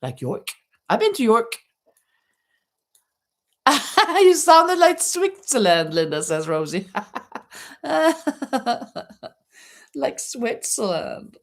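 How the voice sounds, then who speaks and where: A middle-aged woman chatting on a livestream while she crochets. She talks slowly and unhurriedly, laughs often, and reads viewers' comments aloud in a friendly way. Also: fairly high pitch, big pitch swings. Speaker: livestream host. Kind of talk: casual live chat while crocheting. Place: home in Spain.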